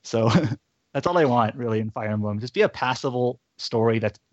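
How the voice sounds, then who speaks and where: A man co-hosting a casual chat, talking in a halting, self-correcting way on slightly dull audio. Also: medium pitch, no room sound. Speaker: podcast co-host.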